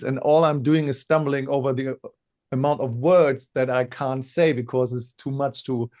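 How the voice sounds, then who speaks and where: A man co-hosting a webinar, talking in a halting way with many repeated words; he says he stutters when insecure. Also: medium pitch. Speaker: webinar co-host. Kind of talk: casual webinar talk.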